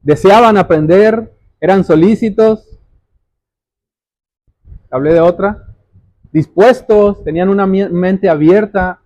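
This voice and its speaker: A man's voice: high voice, takes it slowly, long pauses, darkish tone. A man preaching a sermon, explaining scripture didactically, repeating key phrases and putting direct questions to his listeners. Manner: addresses listeners directly as brothers.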